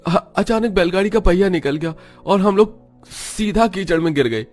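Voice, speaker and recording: A man's voice: high voice, expressive, moderate pace, a little mellow, soft background music, voice pushed up. A man voicing an easygoing young husband in a cartoon story. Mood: cheerful, explaining.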